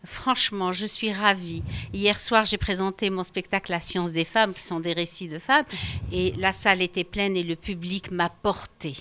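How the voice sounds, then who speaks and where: A woman's voice: lowish voice, moderate pace, a little hiss or hum. A woman from Algeria, a storyteller being interviewed for radio at a festival, talking with many hesitations and fillers. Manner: hesitant, many fillers, warm laughter when animated. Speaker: storyteller guest.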